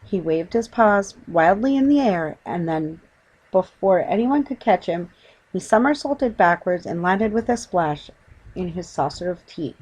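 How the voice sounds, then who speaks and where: Woman audiobook narrator, lowish register, for scripted children's storytelling. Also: lively intonation, unhurried, darkish tone, breathy edge, low-quality recording.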